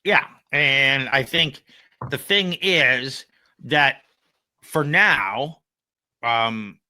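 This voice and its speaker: A man's voice: medium pitch, drawn-out words, pushed and bright, no room sound. A man co-hosting a podcast, giving opinions in casual conversation and explaining them with everyday examples. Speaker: podcast co-host and AI consultant.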